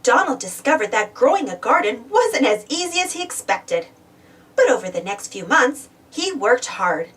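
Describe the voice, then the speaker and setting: A woman reading a children's storybook aloud in an animated narration style. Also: a little high, normal speed. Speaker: storybook reader. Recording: slightly distant mic, music bed. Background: music.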